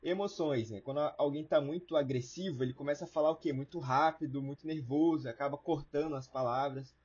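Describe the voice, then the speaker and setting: A man's voice: on the high side, fairly even intonation, a little fast, a little mellow, slightly rough, muffled recording. A Brazilian man teaching an online lesson in a casual, colloquial way, explaining things with simple everyday wording. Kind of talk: informal lecture with everyday examples.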